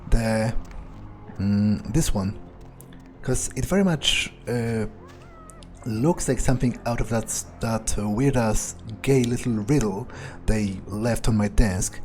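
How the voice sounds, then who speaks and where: A man's voice: on the low side, slow, a little mellow, soft background music. A man role-playing a cocky, foul-mouthed fledgling vampire in improvised video game commentary, muttering hesitantly and swearing casually. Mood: musing, curious. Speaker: game streamer role-playing his character. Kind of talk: in-character improvised gameplay commentary.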